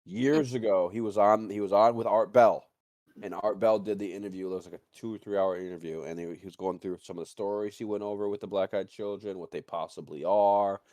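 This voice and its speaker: A man with a low voice talks in a loose, excitable ramble, like a co-host sharing opinions with friends. He swears freely and keeps it casual. The sound is dry, with hardly any room in it. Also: fairly quick, no echo. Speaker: podcast co-host.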